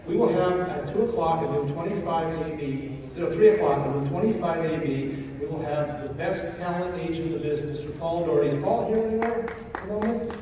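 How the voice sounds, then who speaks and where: A man's voice: on the high side, brisk, crowd noise. A man hosting a panel, making informal stage announcements with wry, dry humor. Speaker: panel host and moderator. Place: large convention panel room, indoors. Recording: echoey convention hall, distant, noisy.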